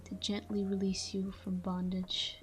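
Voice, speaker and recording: A woman playing a tender, melancholy vampire lover in an intimate roleplay monologue, murmuring slowly and slipping into whispers. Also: medium pitch, monotone, soft background music, close to an everyday voice. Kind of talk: intimate ASMR-style audio roleplay monologue.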